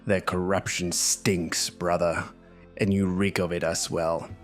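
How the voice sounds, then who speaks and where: A man voicing a hot-tempered, scornful zealot knight in a dark fantasy story, accusing and contemptuous in his delivery. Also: low voice, flat delivery, unhurried. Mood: scornful, angry. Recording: music bed behind the voice.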